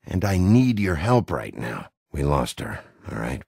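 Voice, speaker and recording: An actor playing a gruff, hot-tempered werewolf detective in a video game, a middle-aged man with a gravelly, husky, blunt, intimidating delivery. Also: a bass voice, very animated, measured pace, a little mellow, no echo, studio quality, full character voice. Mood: pleading, grieving. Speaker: interrogating lawman.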